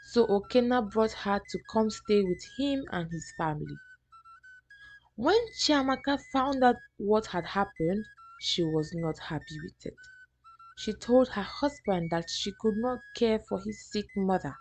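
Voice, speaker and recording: A woman's voice: medium pitch, animated, a little slow, darkish tone, rough recording. A woman storyteller reading a scripted folktale narration. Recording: music behind the voice. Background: background music.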